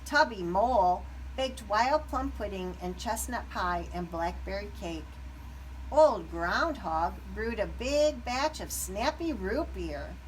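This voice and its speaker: Slow, animated woman reading a storybook. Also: mid-range voice, recorded from a distance, low-quality recording. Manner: expressive, deliberate phrasing. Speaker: read-aloud storyteller. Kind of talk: scripted children's story narration. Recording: background noise.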